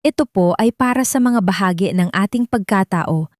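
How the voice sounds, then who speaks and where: Woman acting a modest, quietly brave housemaid. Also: mid-range voice, normal speed, dry recording, studio quality, natural voice. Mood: gentle, earnest. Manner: modest, respectful, soft-spoken. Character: young humble maid, gentle but quietly brave. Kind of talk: audiobook drama dialogue.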